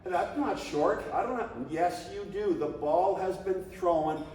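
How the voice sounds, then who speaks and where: A man's voice: sits high, takes it slowly, a little hiss or hum. Man coaching in an echoey hall. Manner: animated, conversational, shouts coaching calls. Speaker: flag football coach. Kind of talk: coaching clinic talk. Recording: echoey hall, distant mic.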